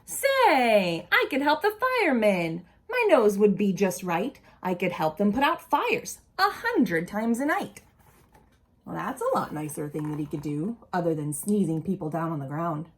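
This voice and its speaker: A woman reading a picture book aloud expressively to young children, pausing to ask them simple questions. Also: medium pitch, big pitch swings.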